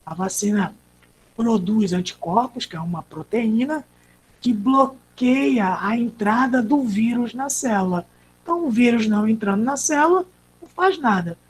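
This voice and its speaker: A woman's voice: a little high, lively intonation, moderate pace, a little hiss or hum, poor audio. A woman with a Brazilian Portuguese accent teaching an online class, explaining step by step and answering her own questions.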